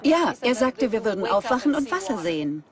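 A woman's voice: fairly high pitch, sing-song, brisk. A woman voicing a matter-of-fact female investigator in dubbed documentary dialogue. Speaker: German voice-over actor in a documentary. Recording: music under the voice. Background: prominent music.

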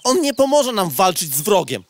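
A man's voice: high-pitched, big pitch swings, normal speed. A man playing a gossipy, casually prejudiced male insect who talks slangily and preachily in a comic audio drama. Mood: earnest preaching.

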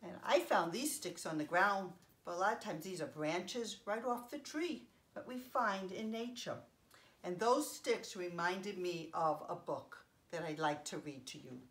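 A woman in her fifties reading a picture book aloud to young children, expressively, pausing to explain things simply. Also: on the low side, fairly bright tone. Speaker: children's story time host. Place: home living room, indoors.

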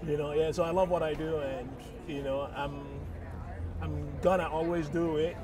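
A man's voice: a little high, steady tone, moderate pace. A middle-aged man with a Nigerian accent talks reflectively and earnestly about his work in an informal interview. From Eastern Nigeria. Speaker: sculptor, interviewee. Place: outdoor art fair. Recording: outdoor crowd noise, mic at a distance. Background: busy crowd.

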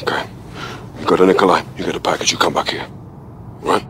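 A man's voice: sits low, steady tone, quick pace, fairly bright tone, slight background noise. A man playing a middle-aged British special forces captain in a video game, terse, commanding and ruthless. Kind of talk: cinematic video game acting.

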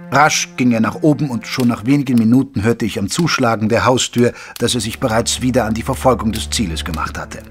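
An actor playing a loyal gentleman doctor who narrates a detective story in a measured, literary way. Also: mid-range voice, lightly acted. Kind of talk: scripted audio drama narration.

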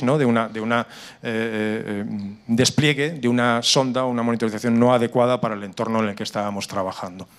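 A middle-aged man with a Spanish accent from Spain gives an explanatory conference presentation into a handheld microphone, often restarting and repeating words. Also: medium pitch. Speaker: conference speaker on cybersecurity. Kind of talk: live conference presentation with slides. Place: indoor conference room with seated audience.

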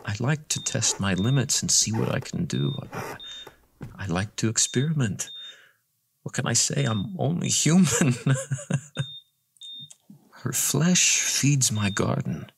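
A man playing a deranged captor in an audio horror drama, coaxing with mock gentleness and playful menace. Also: mid-range voice, expressive, slow, creaky, long pauses, slight background noise. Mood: falsely sweet menace.

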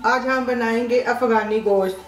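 Woman cooking host, kinda high voice, calm step by step recipe talk, echoey kitchen. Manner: plain, unhurried instructions with short pauses between ingredients. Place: home kitchen. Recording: echoey room with background music.

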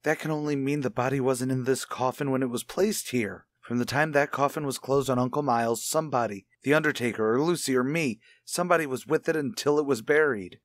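A man acts out an anxious husband from a radio mystery. His mid-pitched voice is earnest and halting, as if pleading with a friend. The sound is close and dry. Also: dry recording, natural voice. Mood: shaken. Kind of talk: radio mystery drama acting.

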